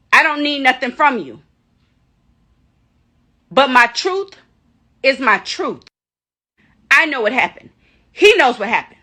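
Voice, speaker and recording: A woman's voice: high-pitched, sharp tone. A woman making a defiant public statement, emphatic and accusatory, repeating herself for stress. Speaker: accuser in a played clip.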